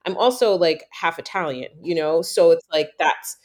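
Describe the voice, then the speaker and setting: A woman from New York hosting a casual conversation, reflective and thoughtful, with many fillers and lively storytelling. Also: deep voice, moderate pace.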